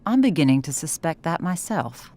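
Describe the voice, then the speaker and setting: A lowish female voice with lively swings in pitch. She is a middle-aged mother in a video game drama, protective and skeptical, with dry, pointed phrasing. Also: moderate pace. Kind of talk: naturalistic video game acting.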